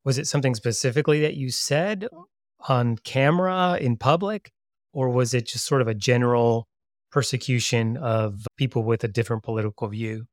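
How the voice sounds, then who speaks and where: Male podcast host, medium pitch, measured delivery, friendly questions, dry close recording. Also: no echo. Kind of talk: scripted intro narration and interview questions.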